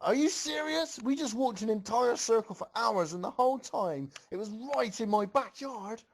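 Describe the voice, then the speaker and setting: A man's voice: extremely high pitch, expressive, normal speed, creaky, pitched above the performer's own voice, exaggerated voice. A man voicing a hot-headed, exasperated young pony mare with an indignant, blustering manner, for a comic fan fiction reading. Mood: outraged. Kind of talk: amateur comic fan fiction read-aloud.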